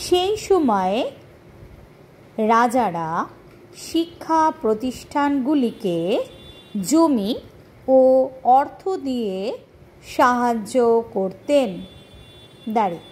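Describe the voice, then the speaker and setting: High-pitched woman tutor dictating slowly. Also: lively intonation, darkish tone, a little hiss or hum. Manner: deliberate, spelling out punctuation as dictation. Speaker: history tutor for schoolchildren. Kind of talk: dictating question-and-answer lesson.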